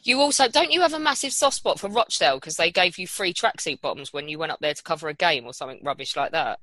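A woman's voice: low-pitched, animated, quick pace, bright, forceful tone, no echo. A woman with a British accent hosting a casual panel chat in an irreverent, sweary, teasing manner. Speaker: podcast host.

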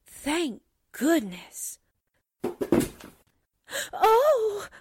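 A woman playing a shrewd, loyal, excitable secretary sidekick, brisk and emphatic in 1940s radio drama style. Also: very high voice, background noise, full character voice. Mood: frantic concern.

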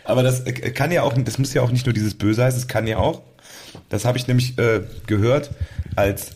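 A man's voice: mid-range voice, brisk, darkish tone. A man hosting a podcast in casual chat, rambling a little and correcting himself mid-sentence. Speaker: podcast host. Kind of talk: casual chat about music.

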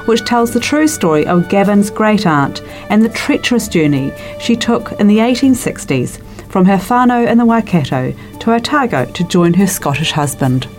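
A woman presents a reading in a scripted introduction. Her pitch moves in a lively way at a medium register, with music playing faintly behind her. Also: moderate pace.

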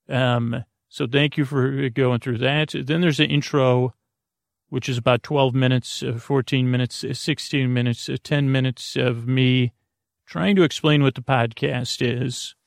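A male podcast host with a gravelly, creaking voice talks in a very dry, close-sounding space. He improvises a bedtime monologue that wanders from one aside to the next. His delivery is halting, with stammers and words said twice. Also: mid-range voice, no room sound. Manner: meandering and digressive, halting with stammers and repeated words.

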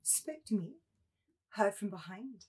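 A woman's voice: mid-range voice, expressive, slow pace, a little mellow, husky. A woman playing a haughty, prim immortal nymph in a theatrical literary reading. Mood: haughty, aloof. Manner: lofty, theatrical, indignant when offended.

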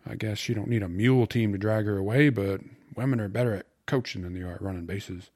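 A man's voice: lowish voice, fast talker, darkish tone, close to an everyday voice. A man voicing a cheerful, cocky young suitor who talks in eager, colloquial slang for an audiobook. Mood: scheming, confident. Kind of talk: audiobook character voice.

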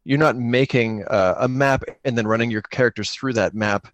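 A man with a mid-pitched voice talks casually in a dry, close recording. He explains things in an animated, conversational way, doubling up words and phrases to drive his points home. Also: moderate pace, no echo. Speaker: podcaster and solo tabletop role-player. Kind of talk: casual chat about tabletop gaming.

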